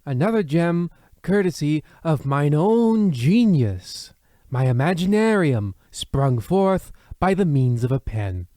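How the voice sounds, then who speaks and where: A man's voice: a little high, very animated, takes it slowly, dark tone, no echo, studio quality. A man playing a pompous, rambling, self-admiring host of an old-time radio mystery play, with theatrical delivery. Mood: grandiose.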